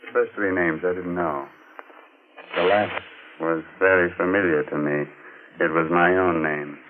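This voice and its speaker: An actor playing a grave, haunted male sleuth in a 1940s radio mystery, with deliberate, suspenseful delivery. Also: deep voice, steady tone. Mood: grave, ominous. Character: grave, haunted male amateur sleuth telling his own story. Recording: noisy, tinny 1940s radio recording.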